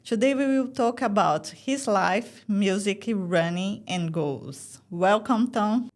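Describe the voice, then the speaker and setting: A woman's voice: medium pitch, slow pace. A woman hosts a podcast interview in a mid-range voice. She reads her introduction and questions in short, plain phrases. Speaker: podcast host. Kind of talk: interview hosting.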